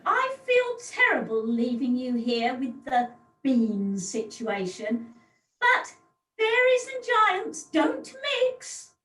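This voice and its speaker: Lady doing a posh-acting panto fairy, super high and swoopy, condescending, echoey far from mic. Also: big pitch swings, slow pace, a bit bright, exaggerated voice. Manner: theatrical, patronising. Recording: echoey, distant home video-call recording.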